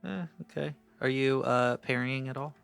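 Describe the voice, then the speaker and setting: Creaky-voiced man running a tabletop game. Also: mid-range voice, normal speed, music in the background. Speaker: game master. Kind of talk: casual tabletop game narration and rules talk.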